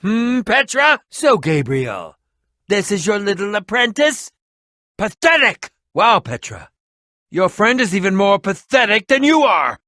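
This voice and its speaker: Haughty scheming video game rival, hot-tempered, melodramatic, hugely swooping intonation, forceful edge, dry studio sound. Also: male voice, high voice, big pitch swings, measured pace, dry recording, heavily acted. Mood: scornful mockery. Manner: haughty, sneering, melodramatic, grandiose phrasing. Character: pompous, theatrical, hot-tempered scheming potion-brewing man, bitter rival. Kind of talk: animated video game voice acting.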